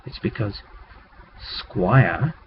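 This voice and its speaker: A man talking casually and haltingly as a game master recapping a tabletop game, rambling with conspiratorial asides. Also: on the low side, animated. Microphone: webcam microphone. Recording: muffled webcam mic with background noise.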